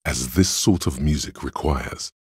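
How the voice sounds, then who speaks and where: An actor voicing a cool, analytical inner voice of logic in a detective game, narrating in a measured, dryly wry way. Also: male voice, extremely low pitch, darkish tone, husky, no room sound, studio quality, full character voice. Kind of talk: scripted second-person game narration.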